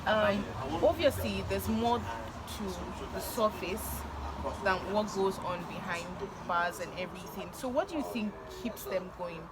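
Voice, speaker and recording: A woman's voice: medium pitch, a little slow. A woman hosting a casual interview, thoughtfully summarizing her guest's points with many fillers. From Nigeria. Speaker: vlog host. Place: outdoors by a busy street. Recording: loud crowd and traffic noise outdoors.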